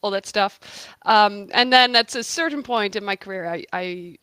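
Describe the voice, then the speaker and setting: A woman's voice: mid-range voice, normal speed, fairly bright tone, clean tone, no room sound. A woman from the Netherlands explaining her work as an economist in a conversational interview, thoughtful and emphatic. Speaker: guest, economist and researcher.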